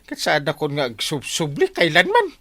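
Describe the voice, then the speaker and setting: An actor playing a cowardly village captain in Ilocano radio comedy, stammering and whining with a Filipino accent. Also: male voice, on the high side, huge pitch swings, moderate pace, bright, forceful tone, no echo, full character voice. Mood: flustered, evasive. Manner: stammering, repeated "eh".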